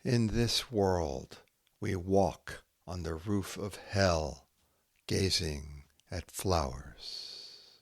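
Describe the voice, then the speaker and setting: Slow, low-voiced man reading poetry. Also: vocal fry, no echo. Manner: deliberate, with long pauses between short phrases. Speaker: podcast host reading poetry. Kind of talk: measured poetry reading and scripted narration.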